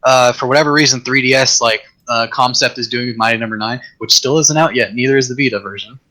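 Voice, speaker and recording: A man chatting as a guest on a tech podcast, rambling with restarts, his voice getting raspy. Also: medium pitch, fast talker, bright, forceful tone, rough recording. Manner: rambling, many restarts and fillers. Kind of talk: casual tech chat.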